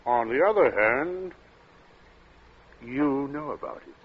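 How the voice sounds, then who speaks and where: An actor playing a cold, urbane captain in an old radio thriller, speaking in a suave, measured way with polite menace and small hesitant asides. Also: male voice, medium pitch, expressive, breathy edge. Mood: calmly calculating. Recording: dull, noisy vintage radio recording.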